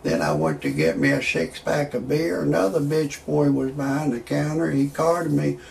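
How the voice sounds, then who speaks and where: A man in his sixties talking in a rambling monologue with stand-up style jokes, halting often on fillers and restarts. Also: fairly high pitch, expressive, creaky, a little mellow, a little hiss or hum. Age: 60s. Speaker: amateur online show host.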